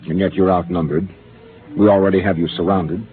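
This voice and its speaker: An actor playing a calm, steady spaceship captain who speaks in a measured, commanding way in a 1950s radio drama. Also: male voice, deep voice, slight background noise, natural voice. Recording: lo-fi old radio broadcast.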